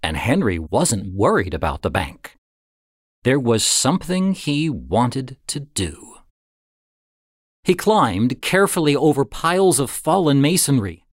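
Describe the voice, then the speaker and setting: A man's voice: mid-range voice, no echo. Guy narrating an audiobook, takes his time, lots of up and down in the voice, really dry sound. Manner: deliberate, expressive, with pauses for suspense. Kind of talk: dramatic scripted story narration.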